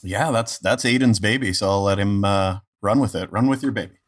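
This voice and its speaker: Guy, really low voice, joking around casually on a podcast. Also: a little fast. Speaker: podcast guest, voice actor. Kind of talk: casual chat.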